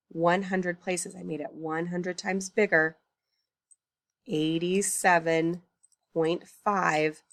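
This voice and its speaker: A woman with a gravelly, creaky edge to her voice talks through a math lesson, working each problem aloud. She stops to correct her own slips and sounds tired, early in the morning. The recording is dry and close, with no room sound. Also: lowish voice, dry recording. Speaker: math teacher.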